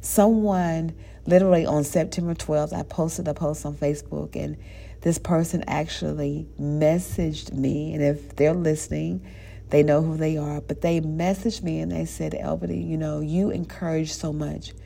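A woman's voice: sits low, steady tone, moderate pace, gravelly, warm tone. A woman in her thirties hosting a faith podcast, speaking earnestly and conversationally with a stuffy nose. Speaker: podcast host.

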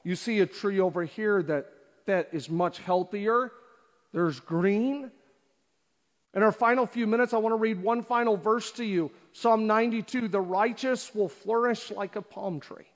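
Pastor, male, high voice, preaching with rhetorical questions, reverberant church auditorium. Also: measured pace. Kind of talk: sermon. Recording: long hall reverb.

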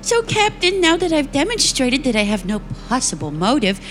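A woman's voice: high-pitched, very animated, normal speed, soft background music, voice pushed down. A woman playing a composed, evasive fiancée under suspicion in a comedic radio murder mystery. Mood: cool, theatrical. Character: coolly dramatic bereaved fiancée suspected in radio murder mystery. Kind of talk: improvised radio play parody.

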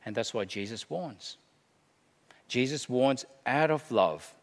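A middle-aged man preaching a sermon, emphatic, swinging between hushed and loud delivery. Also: mid-range voice, lively intonation, slow. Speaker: pastor. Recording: long hall reverb.

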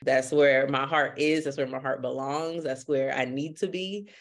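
A man's voice: mid-range voice, steady tone, moderate pace. A man from Miami, Florida, whose first language is Haitian Creole, speaking earnestly and upbeat as a podcast guest. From Miami, Florida, USA. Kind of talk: podcast interview.

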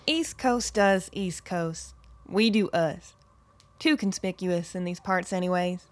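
A woman's voice: lowish voice, slow pace, dry recording. Woman doing a blunt, clipped lady in her sixties, kinda wary. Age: 60s. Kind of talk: radio play acting.

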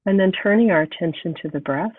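A woman hosting a podcast, calm and unhurried as she guides a meditation, sounding nasal from a cold. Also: lowish voice, breathy edge, no room sound. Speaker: podcast host. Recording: thin phone-like sound, distant from mic.

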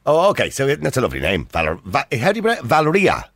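A man with an Irish accent hosting a phone-in radio talk show, joking with callers and cutting in quickly. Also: medium pitch, huge pitch swings, a bit bright, dry recording. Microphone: studio microphone.

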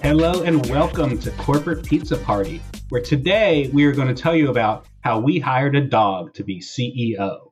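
A man's voice: mid-range voice, lively intonation, normal speed, few pauses, soft background music. A man hosting a casual comedy podcast with playful, exaggerated banter. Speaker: podcast host. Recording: dull, band-limited audio.

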